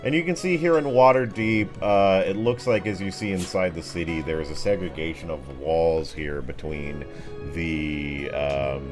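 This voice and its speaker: A man's voice: low-pitched, normal speed. A man narrating a tabletop fantasy game as game master, improvising descriptions casually with slangy asides. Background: background music.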